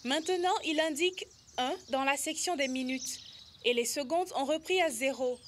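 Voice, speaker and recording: A woman's voice: high-pitched, normal speed, a little hiss or hum, lightly acted. A woman voicing an eager, helpful youngster who explains things in an educational cartoon. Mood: patient, instructive. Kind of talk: educational cartoon dub acting.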